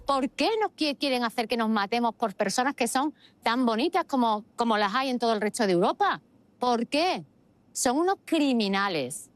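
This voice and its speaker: A woman's voice: a little high, animated, moderate pace, dry recording. Emphatic, argumentative woman in political debate. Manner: emphatic, repeats words and phrases for stress; argumentative, uses rhetorical questions. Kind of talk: opinionated political commentary and debate.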